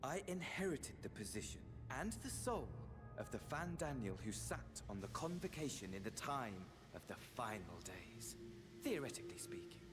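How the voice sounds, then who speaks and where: An actor playing a theatrical, mocking villain in a video game cutscene, flamboyant and sneering, sometimes dropping to a whisper. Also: male voice, mid-range voice, sing-song, moderate pace, over music, close to an everyday voice.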